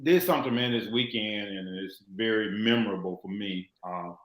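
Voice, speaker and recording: A man telling a story in casual, animated chat on a talk show. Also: on the low side, normal speed, echoey room, crowd noise, rough recording. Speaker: co-host.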